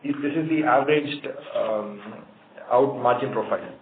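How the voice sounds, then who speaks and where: A man's voice: mid-range voice, normal speed, a crowd in the background. A man with an Indian accent answering analysts' questions as a company executive on a conference call. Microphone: conference phone line. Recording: tinny phone line, echoey room, noisy.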